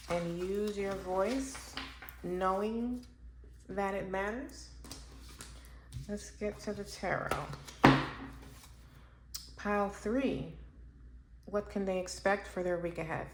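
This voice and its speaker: A woman doing a casual pick-a-card tarot reading for her channel. She thinks aloud slowly, with pauses and fillers. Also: mid-range voice. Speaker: tarot reader. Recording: echoey room with faint background noise.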